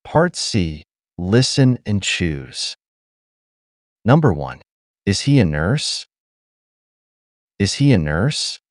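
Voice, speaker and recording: A man narrating part of an English listening test, reading each short question twice and slowly. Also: lowish voice, sing-song, dry recording, studio quality.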